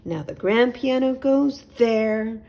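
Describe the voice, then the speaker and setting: A woman's voice: fairly high pitch, slow pace, vocal fry, a little mellow, pitched above the performer's own voice. A woman voicing an anxious, fussy and very polite little male owl in a children's storybook. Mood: delighted, decisive. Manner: flustered, prim, speaks in small repeated phrases.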